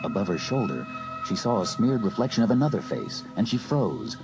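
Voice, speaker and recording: A man's voice: lowish voice, very animated, a little fast, music in the background, low-quality recording. A man narrating a scripted suspense story as an audiobook narrator.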